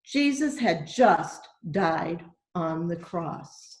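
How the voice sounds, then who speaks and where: Slow-paced woman reading a children's story. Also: fairly low pitch, lively intonation. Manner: deliberate, simple phrasing with questions to young listeners. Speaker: church storyteller. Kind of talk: children's Bible story read aloud. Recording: echoey room.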